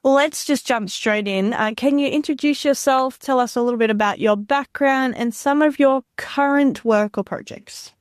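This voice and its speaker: A woman's voice: sits high, moderate pace, few pauses, no room sound, studio quality. Upbeat woman podcast host. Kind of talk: podcast intro and interview.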